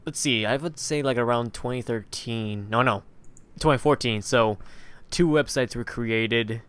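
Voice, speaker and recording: A man hosting a casual podcast, informal and a little halting as he steers the chat. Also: mid-range voice, fairly bright tone, clean tone. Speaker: podcast host.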